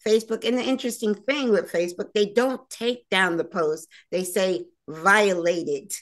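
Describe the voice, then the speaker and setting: A woman's voice: medium pitch, normal speed. Woman hosting her own show, casual and chatty, mid voice, lots of ums. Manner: chatty, with fillers and restarts. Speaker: host and producer. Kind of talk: casual interview chat.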